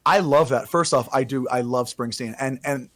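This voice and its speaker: Male podcast guest, raspy, rambling delivery, dry mic. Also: medium pitch, moderate pace, a bit bright, no room sound. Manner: rambling, self-correcting casual talk. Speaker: podcast guest, singer-songwriter. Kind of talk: casual podcast chat.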